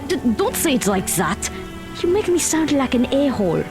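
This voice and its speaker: A woman's voice: high-pitched, very animated, moderate pace. A woman playing an informal, easily needled teenage girl in an audio drama, casual and a bit defensive. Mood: defensive, irritated. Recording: music behind the voice.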